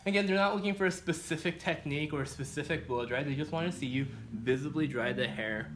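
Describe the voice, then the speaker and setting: A man's voice: fairly high pitch, fast, slight background noise, low-quality recording. A man teaching as a barbering instructor, explaining a practical demonstration step by step.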